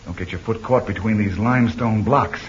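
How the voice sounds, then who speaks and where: An actor playing a brave, decisive leader of a wartime sabotage mission, terse and commanding, in an old-time radio adventure. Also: male voice, lowish voice, a little fast, natural voice. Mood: tense, guarded. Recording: dull, slightly noisy old radio recording.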